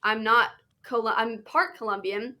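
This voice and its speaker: Young woman, teen guest, fairly high voice, earnest and emphatic. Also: measured pace, sharp tone. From United States. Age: teens. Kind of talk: podcast interview.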